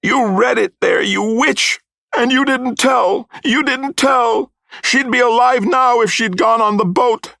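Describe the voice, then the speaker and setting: Man as a shrill, shouting, distraught mother. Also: a little slow, vocal fry, dry recording, heavily acted. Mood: furious, grieving. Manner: screaming, accusing.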